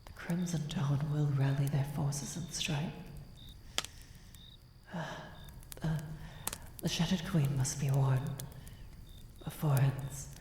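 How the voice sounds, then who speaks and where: A woman playing a centuries-old vampire, anguished, devoted and vengeful, in a dramatic fantasy ASMR roleplay with halting, breathy delivery that often drops into whispers. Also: low voice, steady tone, dark tone. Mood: grave brooding. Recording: echoing reverb with noisy cricket ambience. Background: night ambience with crickets and sound effects.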